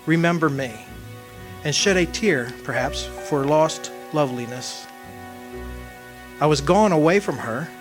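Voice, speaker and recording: A man playing a wistful old soul in a radio drama, remembering his lost love in measured, poetic, solemn narration. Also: on the high side, lightly acted. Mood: sorrowful, haunted. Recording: music behind the voice.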